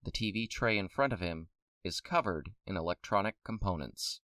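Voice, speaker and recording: A man's voice: low voice, normal speed, sharp tone, no room sound, clean studio sound, distant mic. A man narrating a scripted audio drama as storyteller.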